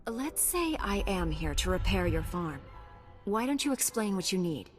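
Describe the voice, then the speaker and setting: A woman's voice: mid-range voice, very animated, moderate pace, lightly acted. Woman acting composed heroine, reverberant voice. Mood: reassuring. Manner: composed, reassuring, probing. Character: calm, steady heroic young female Jedi knight. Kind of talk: video game voice acting. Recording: long hall reverb, music behind.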